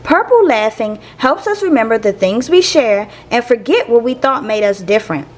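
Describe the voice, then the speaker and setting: A woman reading a picture book aloud to young listeners in a playful, expressive teacher's manner. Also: a little high, normal speed, a little hiss or hum. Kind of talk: expressive children's read-aloud.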